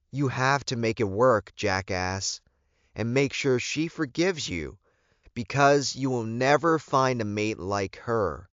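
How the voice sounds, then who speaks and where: Male narrator as scolding young woman, raised pitch, blunt, audiobook fantasy, dull dry recording. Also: a bit bright, clear, resonant tone, no room sound.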